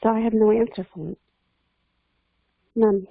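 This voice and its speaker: A woman's voice: on the high side, fairly even intonation, measured pace, creaky, dry recording. A woman speaking casually as a guest in an investigative discussion. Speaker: podcast guest. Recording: thin, dry phone-like call audio.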